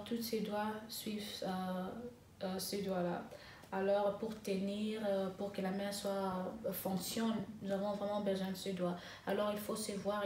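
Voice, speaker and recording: A young woman in her twenties talks in a flat, even tone. She pauses often and restarts her sentences as she answers questions. The room is echoey, with faint ambient noise behind her. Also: medium pitch, distant mic. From Congo (DRC), raised in South Africa. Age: 20s. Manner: hesitant, many fillers and restarts. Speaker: interviewee, master's student. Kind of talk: interview answers.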